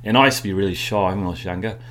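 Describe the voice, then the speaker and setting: A middle-aged man with a New Zealand accent, telling rambling, animated stories with many fillers in a casual interview. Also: sits low, racing, slight background noise. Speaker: guest.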